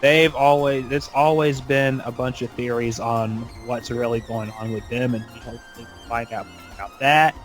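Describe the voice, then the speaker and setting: A man's voice: medium pitch, fairly even intonation, moderate pace, few pauses, soft background music. A man hosting a podcast in casual roundtable talk. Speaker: podcast host.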